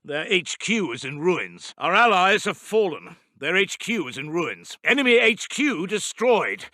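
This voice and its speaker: A man's voice: sits high, big pitch swings, a little slow, bright, forceful tone, dry recording, clean studio sound, full character voice. A man playing a stern, zealous wartime intelligence officer in a strategy game, giving formal, clipped, martial announcements. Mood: brisk, formal. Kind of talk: scripted game unit announcements.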